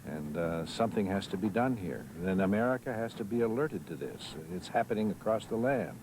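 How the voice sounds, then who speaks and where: A man giving impassioned interview remarks in a halting way, repeating himself. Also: on the low side, expressive, background noise.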